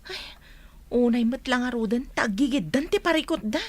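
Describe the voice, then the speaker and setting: A deferential servant woman acted by a woman with a very high voice and an Ilocano accent. She speaks in a pleading radio-drama manner. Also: expressive, normal speed, dry recording. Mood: concerned.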